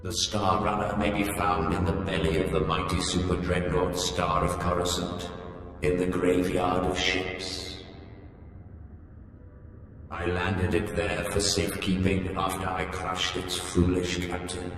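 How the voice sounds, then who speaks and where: Ancient Sith ghost, deep voice, cavernous long reverb, grandiose, vengeful, formal game villain. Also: male voice, flat delivery, a little slow, fairly bright tone. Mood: commanding. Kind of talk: video game voice acting. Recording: cavernous reverb, music underneath.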